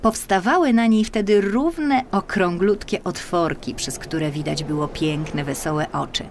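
A woman's voice: fairly low pitch, unhurried, slight background noise. A woman narrating a children's fairy tale expressively, drawing out key words for emphasis. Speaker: audiobook narrator.

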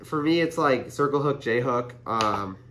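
A man's voice: medium pitch, lively intonation, unhurried, fairly bright tone, a little hiss or hum. A young man hosting a fishing channel, casually explaining his tackle to the camera in a chatty, earnest way full of "like" and "you know", recorded indoors on a camera mic. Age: young adult. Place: indoor room in front of a printed banner.

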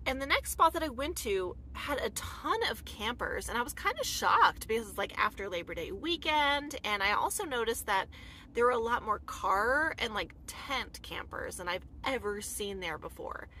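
A woman in her thirties from the United States telling a personal story in a casual, animated vlog, full of "like" fillers, filmed inside a dark camper van at night. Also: fairly high pitch, moderate pace, slight background noise. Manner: chatty, animated, many "like" fillers. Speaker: vlogger.

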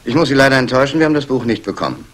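An actor playing a dry, wry police inspector who probes politely in a 1960s German radio drama. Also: male voice, medium pitch, lively intonation, racing, close to an everyday voice. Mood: probing.

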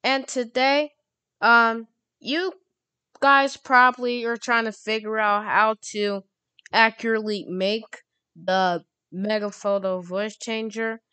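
Female tutorial host, casual and halting, clear-voiced, closely recorded with no room sound. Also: mid-range voice, pushed and bright, no echo.

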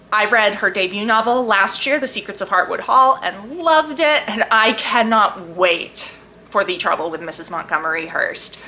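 A woman book vlogger chatting casually and enthusiastically to camera, leaning hard on emphatic, drawn-out words. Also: medium pitch, pushed and bright, slight background noise. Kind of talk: casual chat to camera about books.

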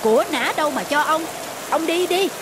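A woman's voice: high-pitched, animated, moderate pace, background noise. Woman voicing a greedy stingy older brother. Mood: curt greedy. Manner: curt and dismissive. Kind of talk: cartoon voice acting. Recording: clear background music.

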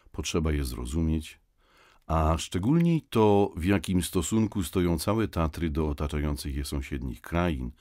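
An actor playing a reflective nineteenth-century poet-geographer, reciting literary prose for an audio guide in a solemn, measured manner. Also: male voice, a bass voice, darkish tone, dry recording, studio quality. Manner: solemn, measured, old-fashioned phrasing.

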